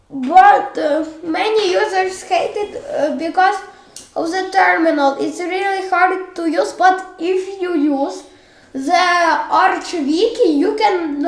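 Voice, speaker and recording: A woman from Ukraine with a Ukrainian accent and a childish-sounding voice, talking through a software tutorial in a hesitant, halting way with many fillers. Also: very high-pitched, creaky. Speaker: tutorial host. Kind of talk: screen-recorded software tutorial. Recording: echoey room.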